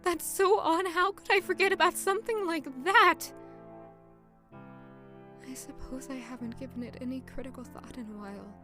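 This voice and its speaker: An actress playing a grieving, self-blaming young servant woman in an emotive, halting audio drama. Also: high-pitched, a bit bright. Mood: bewildered. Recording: music under the voice. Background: music.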